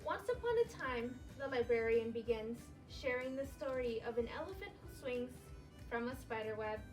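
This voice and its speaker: A woman teacher reading a picture book aloud to young children in an expressive, clearly phrased storytelling way. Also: a little high, moderate pace, distant mic, soft background music, rough recording.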